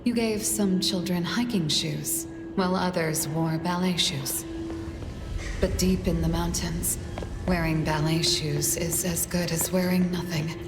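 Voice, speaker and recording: A woman voicing a guarded, cool-headed game character in a measured, curt manner. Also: on the low side, fairly even intonation. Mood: somber, reflective. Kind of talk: scripted game dialogue. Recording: echoey, with music behind.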